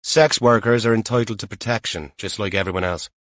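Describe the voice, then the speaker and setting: A man's voice: fairly low pitch, a little fast, fairly bright tone, no echo, lightly acted. Man voicing a wry, emphatic police veteran.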